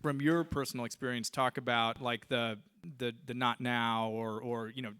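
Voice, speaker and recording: A man's voice: medium pitch, steady tone, moderate pace, sharp tone, clean tone. A man moderating a conference panel in a conversational way, hedging often. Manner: conversational, hedging with "you know".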